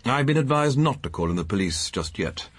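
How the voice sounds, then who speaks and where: An actor playing a witty, urbane English playwright with an English accent and arch, ornate, drily formal phrasing in a radio drama. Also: male voice, medium pitch, animated, brisk, close to an everyday voice. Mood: polite, probing.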